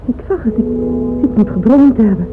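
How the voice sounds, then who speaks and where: An actress plays a haunted, devoted noblewoman in a radio drama. Her delivery is intense and halting, moving between hush and agitation. The old recording is thin and narrow, with clear music underneath. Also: fairly high pitch, animated, lightly acted. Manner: intense, breathy, halting, swelling from hushed to agitated. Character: anguished older Russian noblewoman, devoted, haunted by dreams. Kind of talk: dramatic radio play monologue.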